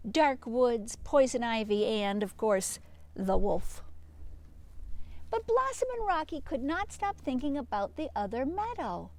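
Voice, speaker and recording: A woman reads to young children in a fairly high, highly animated voice. She takes her time, speaking like a teacher at storytime. Her delivery is playful and expressive, with dramatic emphasis. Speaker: teacher reading aloud to children. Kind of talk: animated children's read-aloud, addressing young listeners.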